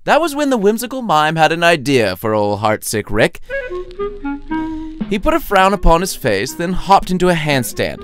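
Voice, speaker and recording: A man's voice: fairly high pitch, very animated, moderate pace, a bit bright, soft background music. A man narrating a scripted story in a playful, wry, theatrical storytelling manner. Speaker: narrator and author.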